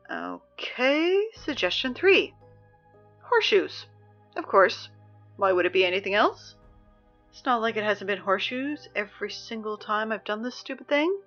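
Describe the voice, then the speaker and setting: A woman voices a flustered teenage girl doing the school announcements in a comedy audio drama. Her bright, lively voice swings widely and breaks into sarcastic outbursts. The audio sounds slightly muffled. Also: a little high.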